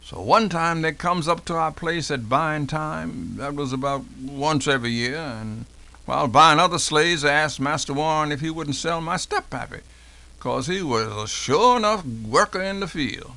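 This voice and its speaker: A man's voice: a little high, moderate pace, vocal fry, bright, forceful tone. A man playing an elderly formerly enslaved American man recalling his childhood in a folksy, dialect-heavy dramatic reenactment. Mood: somber recollection. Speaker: professor doing a dramatic reading. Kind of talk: dramatic first-person oral history reenactment.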